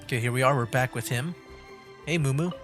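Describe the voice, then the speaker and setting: A man's voice: mid-range voice, a little fast, fairly bright tone, faint music behind. A man hosting a game livestream with casual commentary, musing aloud in a rambling way. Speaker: livestream gamer host.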